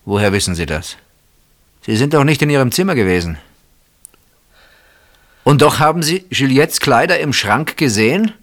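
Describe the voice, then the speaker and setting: An actor playing a suspicious, agitated husband in a German radio play, questioning insistently and dramatically. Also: male voice, mid-range voice, lively intonation, normal speed, pauses often, fairly bright tone, close to an everyday voice. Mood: accusing. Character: suspicious, agitated husband searching for his missing wife.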